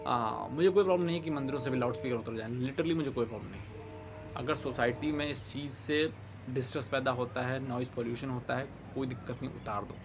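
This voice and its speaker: A young man hosting an opinion commentary to camera, speaking in a pointed, emphatic way with halting phrasing. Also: mid-range voice, music in the background. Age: young adult. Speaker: online commentary host. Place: outdoors, overlooking a city. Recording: background noise with outdoor ambience and birds.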